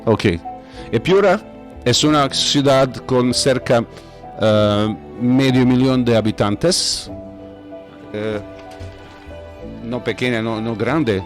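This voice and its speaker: A man hosting an interview in a language that is not his first, speaking haltingly with many pauses and fillers. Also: medium pitch, faint music behind. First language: not Spanish. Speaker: host. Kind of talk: interview podcast. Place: home, video call.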